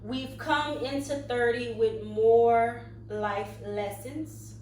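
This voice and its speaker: A woman of thirty, co-hosting a casual podcast chat, talking slowly and thoughtfully and trailing off quietly. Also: fairly high pitch, steady tone. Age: 30s. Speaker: podcast co-host. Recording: echoey room, mic at a distance, faint hum.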